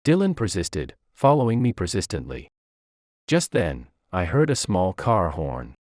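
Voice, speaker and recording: A man's voice: low-pitched, wildly swooping pitch, unhurried, a little mellow, no echo, clean studio sound. A man reading a scripted first-person story as its narrator.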